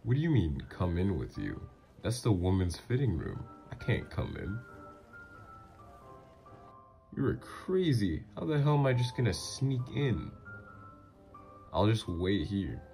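A man playing a doting, easily flustered mafia boss lover, gruff yet flirtatious, in an intimate ASMR roleplay. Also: fairly low pitch, sing-song, unhurried, dark tone, soft background music, exaggerated voice. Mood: flustered, indignant.

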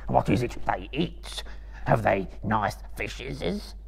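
A man voicing a wretched, skinny, malicious cave creature with a raspy, hissing, whining voice in a live story reading. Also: mid-range voice, lively intonation, exaggerated voice. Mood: hungry, eager. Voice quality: raspy, creaky, hissing whisper. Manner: whining, drawn-out, self-pitying muttering.

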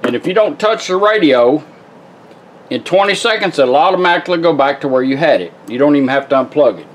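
A man's voice: on the high side, animated, fast talker. A man giving a casual, folksy product demonstration in plain-spoken words. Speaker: radio restorer demonstrating a product. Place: indoor workbench demonstration. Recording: radio and music playing behind. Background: car radio broadcasts and music.

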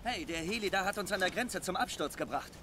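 An actor playing a sarcastic, chatty young soldier in a video game. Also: male voice, sits high, expressive, fast talker, background noise. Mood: alert.

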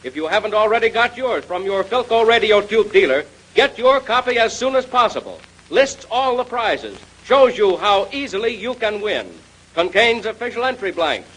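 A man's voice: sits high, very animated, moderate pace, a bit bright, breathy edge, poor audio. A man with an American accent announcing and narrating in 1930s radio style.

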